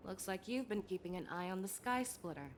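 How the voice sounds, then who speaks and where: A woman voicing a composed, shrewd general in a video game, with a measured, commanding, analytical manner. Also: mid-range voice, expressive, slight background noise, natural voice. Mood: calm, calculating.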